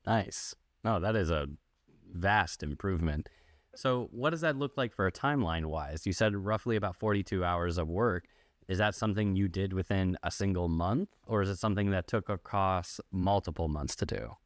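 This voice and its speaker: Male interviewer, low register, relaxed podcast-style questioning, tightly dry recording. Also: moderate pace, dry recording. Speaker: podcast host. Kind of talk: casual interview.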